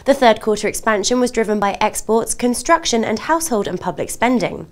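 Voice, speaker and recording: A woman's voice: a little high, expressive, a little fast. A woman presenting television news and putting scripted interview questions in a formal, polished manner.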